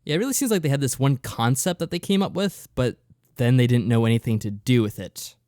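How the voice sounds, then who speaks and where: A man's voice: mid-range voice, a little fast, mellow, no echo. Matter-of-fact man on a close, dry mic. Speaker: podcast co-host. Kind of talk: casual review chat.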